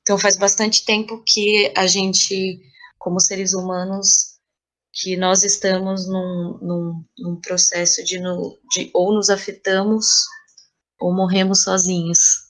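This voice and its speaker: A Brazilian woman speaking reflectively as an interview guest, pausing and repeating phrases as she thinks. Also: lowish voice, little pitch movement. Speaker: playwright and theatre artist, podcast guest.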